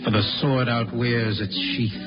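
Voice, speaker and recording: An American actor playing a grave, idealistic and bitterly ashamed spaceman in 1950s radio drama, speaking haltingly and with passion. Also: medium pitch, level tone, close to an everyday voice. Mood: wistful. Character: idealistic, brooding spaceman, bitterly ashamed of his own kind. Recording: muffled old radio recording, faint music.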